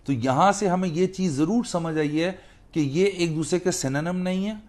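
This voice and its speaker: A man's voice: high voice, normal speed, a little mellow. A man with a Pakistani accent giving an explanatory legal lecture as a mentor, emphatic, repeating key phrases and mixing Urdu with English. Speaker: law teacher and mentor.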